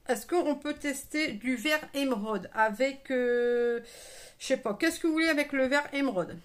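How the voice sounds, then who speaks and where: A woman giving a casual live make-up tutorial, chatting familiarly with her viewers and throwing in playful asides. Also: a little high. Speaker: live make-up streamer and beauty seller.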